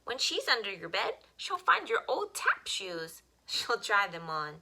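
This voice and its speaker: A woman reading picture books aloud to young children as a story time host, with expressive, simple phrasing. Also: mid-range voice, measured pace.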